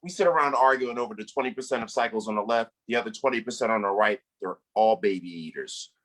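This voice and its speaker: A man's voice: on the low side, fast, fairly bright tone. A man hosting a stand-up comedy show, bantering with the crowd, hyping up the acts and swearing freely. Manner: profane, hyping, addresses the crowd.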